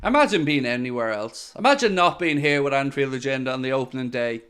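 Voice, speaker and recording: Smooth-voiced man commentating a live match. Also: mid-range voice, fast talker. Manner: excitable, jokey, addresses viewers directly. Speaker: host of a live football watchalong.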